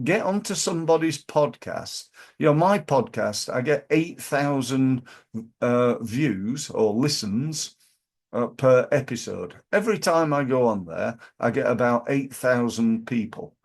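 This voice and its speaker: A man from Yorkshire with a Yorkshire accent and a hoarse voice, giving business advice to camera in his office, emphatic and repetitive, with many fillers. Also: mid-range voice. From Yorkshire, England. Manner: emphatic, repeats key phrases, many fillers. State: hoarse from flu. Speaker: business coach. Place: office, in front of a backdrop screen.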